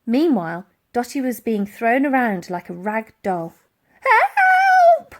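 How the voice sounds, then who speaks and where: A woman narrating a children's adventure story in an animated storytelling style. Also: fairly high pitch, moderate pace. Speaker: audiobook reader.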